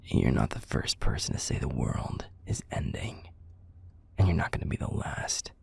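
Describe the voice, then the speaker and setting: Audio roleplay casting: male actor for a bitter, threatening werewolf pack enforcer, deep and extremely husky, profane and gruff. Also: a little mellow, exaggerated voice. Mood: mocking, skeptical. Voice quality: gravelly, husky, breathy. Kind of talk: scripted audio roleplay acting.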